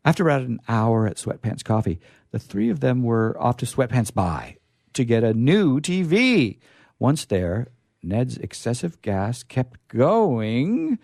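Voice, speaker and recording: A man reads and hosts in a playful, theatrical way. His medium-pitched voice swings widely with exaggerated emphasis and offhand asides. The sound is dry and close. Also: big pitch swings, measured pace, creaky, darkish tone, dry recording. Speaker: storyteller and show host. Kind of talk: live comic story reading with sign-off.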